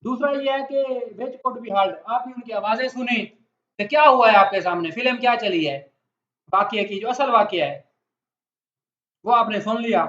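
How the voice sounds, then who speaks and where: A man's voice: very high-pitched, moderate pace, pushed and bright. A man with a Pakistani accent gives an explanatory law lecture in a conversational way, putting questions to his listeners. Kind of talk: explanatory lecture with everyday examples. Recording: echoey room, dull lo-fi sound.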